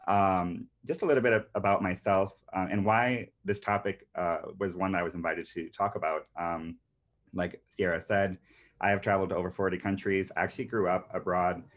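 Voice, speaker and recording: A man's voice: sits low, fairly even intonation, fairly quick. A man in his thirties who grew up in Panama, presenting a marketing webinar earnestly, with many fillers and restarts. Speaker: marketing agency founder presenting a workshop. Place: indoors on a video call with a virtual beach background. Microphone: computer mic on a video call. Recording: dull lo-fi video call audio.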